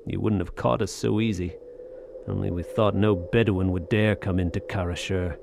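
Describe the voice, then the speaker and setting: A man playing a gruff, blunt, defiant adventurer in a pulp audio drama. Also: deep voice, fairly even intonation, normal speed, background noise. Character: tough, defiant male adventurer, gruff soldier of fortune.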